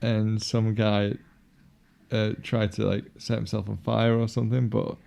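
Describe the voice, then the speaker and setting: Dry northern English man, low and gravelly. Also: little pitch movement, a little slow, mellow. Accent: northern English, Yorkshire-inflected. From northern England. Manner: dry, sardonic, laughs often. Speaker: co-host. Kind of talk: casual film commentary chat.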